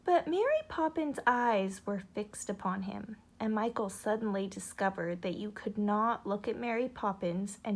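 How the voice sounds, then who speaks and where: A woman narrating a children's story aloud with expressive, dramatic delivery. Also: mid-range voice.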